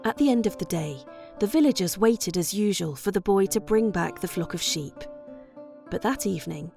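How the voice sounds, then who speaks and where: Expressive woman storyteller with lively intonation. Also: medium pitch, normal speed, gravelly, soft background music. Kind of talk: scripted children's bedtime story narration.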